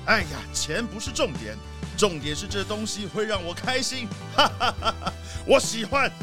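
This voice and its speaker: Casting: man as vain cartoon leopard tycoon, impatient and breezy, high voice with animated swings, for a kids' radio play. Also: normal speed, music in the background. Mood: pleased, boastful. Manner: self-important, breezy, dismissive. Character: vain, impatient, rich male leopard big shot, a father. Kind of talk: children's audio drama acting.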